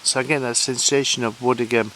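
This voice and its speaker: Halting Sheffield man calling out to spirits. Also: mid-range voice, steady tone, a bit bright, a little hiss or hum, low-quality recording. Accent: Sheffield Yorkshire. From Sheffield, England. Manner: hesitant, halting, many fillers. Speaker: paranormal investigator. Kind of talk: calling out to spirits on a ghost hunt. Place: outdoors at a woodland waterfall site.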